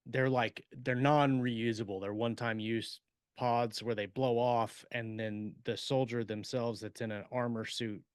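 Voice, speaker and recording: A man co-hosting a podcast as a writer, chatting casually in a halting, rambling way and explaining things by example. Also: mid-range voice, steady tone, smooth, no echo, clean studio sound. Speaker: podcast co-host and science fiction writer. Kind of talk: casual chat about writing.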